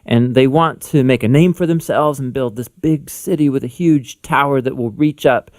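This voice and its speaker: A man's voice: mid-range voice, normal speed, a little mellow. A man co-hosting a Bible lesson, explaining things informally in conversational explainer narration. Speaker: Bible teacher, co-host.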